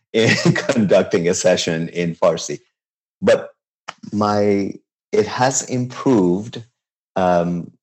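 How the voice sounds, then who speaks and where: A man's voice: low-pitched, darkish tone. A man in his fifties from Iran, a chef talking as an interview guest in a casual, reflective way, often restarting his sentences. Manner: reflective, halting, with frequent restarts and light laughs.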